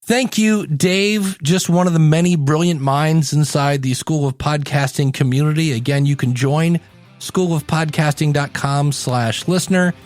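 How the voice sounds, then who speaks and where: Slightly high-voiced man hosting a podcast. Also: normal speed, darkish tone, no echo, studio quality, soft background music. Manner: conversational, addresses the listener directly. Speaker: podcast host and podcasting coach. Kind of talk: podcast sign-off and promo.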